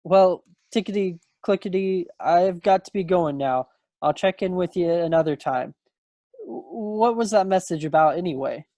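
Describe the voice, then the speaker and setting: A man's voice: high voice, normal speed, warm tone, no echo. Young 1940s small-town guy played by a man, playful and folksy, eager suitor, dry close sound, stage-play read. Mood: chatty, earnest. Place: at home on a video call. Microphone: computer microphone on a video call.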